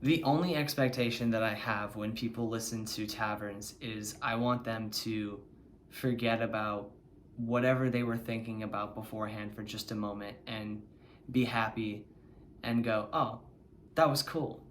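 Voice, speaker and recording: A man's voice: on the low side, steady tone, normal speed, a little hiss or hum. A man in his twenties, a band member, speaking in an earnest, heartfelt way in an interview. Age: 20s. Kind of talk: documentary interview.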